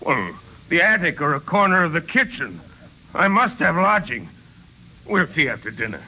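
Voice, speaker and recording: An actor playing a hungry, humble ex-convict traveler with halting, plain-spoken pleading in an old radio drama. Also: male voice, fairly high pitch, very animated, husky, full character voice. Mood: insistent, hungry. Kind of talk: 1930s radio drama acting. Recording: muffled, noisy vintage radio recording.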